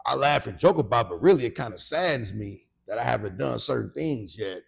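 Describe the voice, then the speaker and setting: American male co-host, late forties, heavy vocal fry and raspy tone, conversational and reflective. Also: medium pitch, lively intonation, brisk. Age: late 40s. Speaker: podcast co-host.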